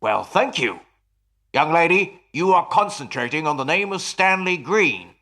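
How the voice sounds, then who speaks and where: A man playing a grave, earnest stage telepathist in a radio play, with a deliberate, courteous and dramatic manner. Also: on the high side, big pitch swings, pushed and bright, heavily acted. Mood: concentrating.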